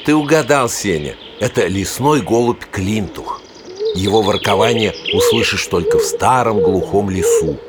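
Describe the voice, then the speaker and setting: A kindly male nature guide played by an actor speaks in a lowish, very expressive voice. He is patient and instructive, as in a children's audio play, with birdsong clearly heard behind him. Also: moderate pace, very noisy, natural voice. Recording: voice over clear birdsong ambience. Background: forest birdsong, cooing.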